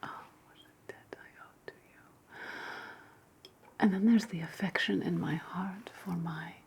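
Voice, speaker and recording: Slow whispering, spiteful witch played by a woman. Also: fairly low pitch, fairly even intonation, slight background noise. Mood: mock gracious. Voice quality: breathy, unvoiced whisper. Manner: slow, deliberate, sweetly sarcastic. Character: wry, spiteful ancient Roman witch, single woman. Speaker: ASMR roleplay performer. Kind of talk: whispered ASMR roleplay.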